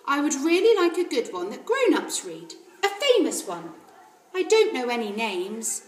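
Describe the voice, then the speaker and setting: A woman's voice: sits high, huge pitch swings, normal speed, roomy sound, voice pushed up. A woman voicing an eager, polite four-year-old bookworm girl in a storybook reading. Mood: earnest, hopeful. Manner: earnest and polite. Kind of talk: storybook character voice.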